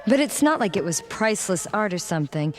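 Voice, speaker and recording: An actress playing a level-headed young woman who speaks in a calm, skeptical, questioning way in a video game. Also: mid-range voice, fairly quick, faint music behind, lightly acted.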